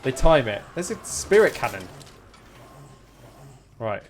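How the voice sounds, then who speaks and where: A man's voice: mid-range voice, sing-song, slow pace, a little hiss or hum. A man with a British accent streaming a game, giving casual, chatty commentary and reacting aloud as he goes. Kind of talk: casual live gameplay commentary.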